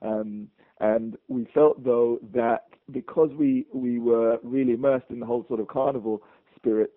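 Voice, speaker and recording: A man from London with a London accent, a guest in a casual interview, talking in a halting way with many restarts and chuckles. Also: mid-range voice, dry recording. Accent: London English. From England. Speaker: guest, bar owner. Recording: dry, tinny phone line.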